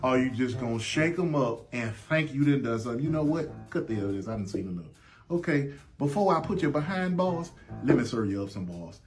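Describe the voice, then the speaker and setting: A young man hosting a comedy skit as a judge, muttering low commentary and then loudly rapping rhyming sentences. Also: lively intonation, a little fast, faint music behind. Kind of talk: comedic food review skit with rhymed verdicts. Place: small room dressed as a courtroom, indoors.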